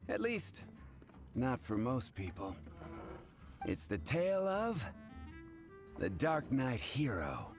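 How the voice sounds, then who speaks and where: An easygoing bartender in a fantasy video game. He has a mid-pitched male voice and a wry, chatty storytelling manner, with some music playing behind him. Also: huge pitch swings, takes it slowly, long pauses, exaggerated voice. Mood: wry, conversational.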